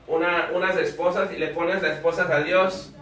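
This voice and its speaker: A man from Ecuador with an Ecuadorian Spanish accent, teaching a church discipleship class in short phrases and pausing for a live interpreter. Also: a little high, steady tone, fairly bright tone. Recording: echoey room, voice from a distance.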